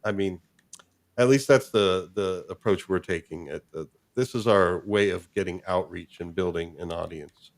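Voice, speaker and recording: Low-pitched man hosting a casual chat. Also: moderate pace, gravelly. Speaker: show host.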